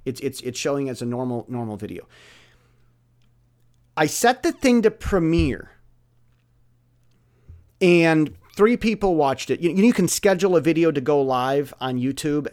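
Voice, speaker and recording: A man speaking in a casual, rambling monologue, excitably repeating words and phrases for emphasis. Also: medium pitch. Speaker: musician with an online channel.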